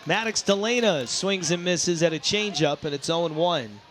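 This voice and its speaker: High-pitched male baseball play-by-play announcer. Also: moderate pace, a little hiss or hum. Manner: excitable on big plays, descriptive between them. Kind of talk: live sports play-by-play commentary. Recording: crowd and music behind commentary. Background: ballpark crowd, music.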